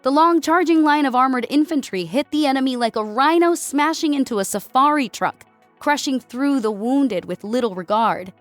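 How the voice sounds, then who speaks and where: A woman's voice: high-pitched, lively intonation, moderate pace, no echo, faint music behind. A woman giving a dramatic scripted narration of an action story as an audiobook narrator.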